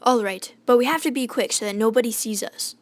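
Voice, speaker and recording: A woman's voice: on the high side, fairly quick, no room sound, close to an everyday voice. A woman playing a kind, eager young bellhop lad in a radio drama, friendly and a little hesitant. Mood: nervous.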